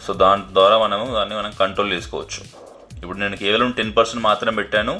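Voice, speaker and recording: A man's voice: fairly low pitch, steady tone, racing, pushed and bright, faint music behind. A man narrates a casual unboxing and demo as the host, explaining in a repetitive way and mixing in English terms. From India. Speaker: product review host.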